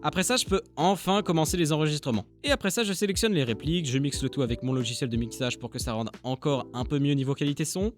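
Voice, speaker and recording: Informal, higher-voiced man vlogging over music. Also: quick pace, few pauses. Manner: self-deprecating, informal. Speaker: amateur voice dubber and channel creator. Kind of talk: casual vlog narration.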